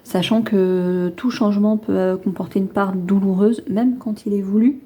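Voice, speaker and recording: A woman's voice: mid-range voice, steady tone, moderate pace, mellow, slightly rough. A woman with a head cold chatting casually to viewers as she reads tarot cards, hesitant and rambling as she thinks aloud. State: has a lingering head cold. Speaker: tarot card reader hosting a reading.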